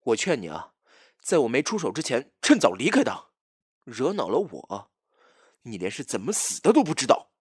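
Casting: cocky jealous male rival played by a man, very animated, husky, theatrical, dry close sound, audio drama. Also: mid-range voice, normal speed, no room sound. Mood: taunting, threatening. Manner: taunting, drawling, theatrical.

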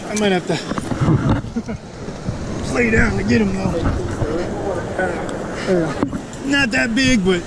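A man's voice: sits high, slow, long pauses. An American man chatting casually as he fishes outdoors, recorded on a body-worn action camera. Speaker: fishing vlogger. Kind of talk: casual chat while fishing. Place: outdoors beside an urban river. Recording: faint outdoor city noise.

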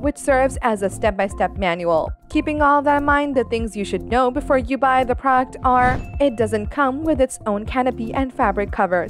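A woman with a fairly high voice reads a scripted product review, moving through features in an even, listing cadence. The voice-over sits over faint background music.

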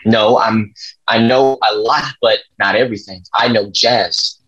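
Husky, defiant young jazz student, acted by a man. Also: fairly low pitch, fairly even intonation, measured pace, fairly bright tone. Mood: resolute. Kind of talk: script table read acting. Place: small room at home, on video call. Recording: dull, lo-fi video call audio.

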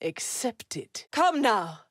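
Mocking game villainess, swooping intonation, dry close sound, vengeful taunts. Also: female voice, fairly high pitch, sing-song, a bit bright, no room sound, heavily acted. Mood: vengeful threat. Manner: taunting, sneering, deliberate. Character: mocking, vengeful female villain hunting a young Jedi.

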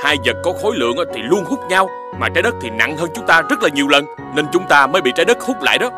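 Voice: mid-range voice, very animated, quick pace, music in the background, natural voice. An actor voicing a brainy adult male cartoon dog, a stern scientist father who explains things didactically. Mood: explaining. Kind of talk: children's cartoon dubbing.

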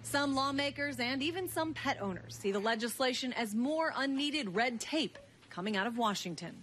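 A woman's voice: high voice, lively intonation, normal speed, fairly bright tone, slight background noise. A woman with an American accent narrating a scripted news report as a correspondent.

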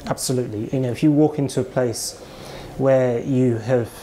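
British man, 40s, author interviewee, considered explanations, soft room noise. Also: mid-range voice, fairly even intonation, normal speed, low-quality recording. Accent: British English. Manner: thoughtful, explanatory, with restarts. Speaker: author and psychologist. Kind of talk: interview answers. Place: kitchen shop, indoors.

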